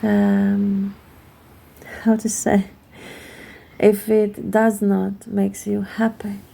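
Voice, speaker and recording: A Hungarian-accented woman, a native Hungarian speaker, explaining a card reading, pausing often as she searches for her words. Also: medium pitch, completely flat delivery, creaky, mellow. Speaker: tarot card reader.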